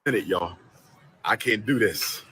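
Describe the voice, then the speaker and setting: A man's voice: fairly low pitch, unhurried, bright, forceful tone, slight background noise. A man in a casual vlog clip, complaining and repeating himself.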